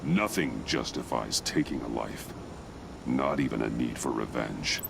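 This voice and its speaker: An actor playing a stern, grim masked vigilante in a video game, with gravelly, terse, slow delivery. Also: male voice, rumbling low, background noise, heavily acted.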